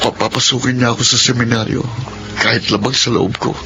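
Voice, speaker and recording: A man's voice: medium pitch, steady tone, a little fast, gravelly, natural voice. A young man playing a troubled son in a radio drama, hesitant and anxious. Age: young adult. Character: troubled young man torn by his mother. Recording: music bed under the voice.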